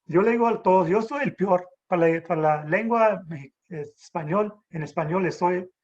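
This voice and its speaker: Halting husky man teaching the Bible. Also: high voice, darkish tone, dull audio. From Texas border, raised in San Antonio. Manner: halting, many restarts and rhetorical "¿verdad?". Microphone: video call.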